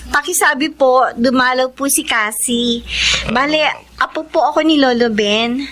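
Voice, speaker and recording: A woman's voice: sits high, a little slow, fairly bright tone, a little hiss or hum. A woman playing a caring, respectful young granddaughter in a Filipino radio drama, polite and earnest.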